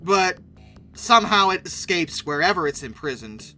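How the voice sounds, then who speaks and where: A man's voice: sits high, very animated, moderate pace, bright, forceful tone, faint music behind. A man giving casual video commentary in a sarcastic, opinionated, emphatic way. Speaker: YouTube commentator and host.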